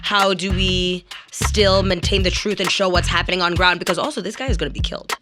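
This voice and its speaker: A woman's voice: fairly low pitch, fairly quick. A woman in a candid discussion, explaining things in an emphatic, conversational way. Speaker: actor and radio professional. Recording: music playing under speech. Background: music.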